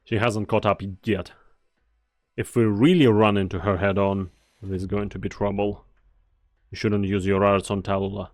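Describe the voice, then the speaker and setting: A man in a fan dub of a game, playing a weary, guarded mercenary with a grave, measured, commanding delivery. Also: lowish voice, flat delivery, faint music behind, lightly acted. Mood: stern. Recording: long reverb on the voice.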